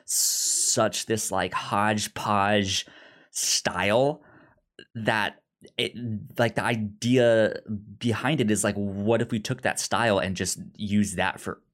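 A man with a pronounced stutter, speaking in a halting but enthusiastic way as a podcast co-host casually discussing films. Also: fairly low pitch, fairly even intonation, fairly bright tone. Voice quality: pronounced stutter, repeating sounds and words.

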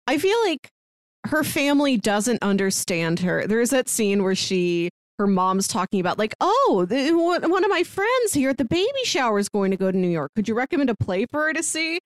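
Female podcast co-host, slightly high voice with lively intonation, casual movie chat, dry recording. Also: moderate pace, dry recording. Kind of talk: casual film review chat.

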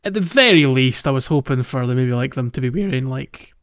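A man's voice: mid-range voice, animated, a little fast, clean tone. A man chatting casually as a host of a gaming podcast, through a slightly dull microphone.

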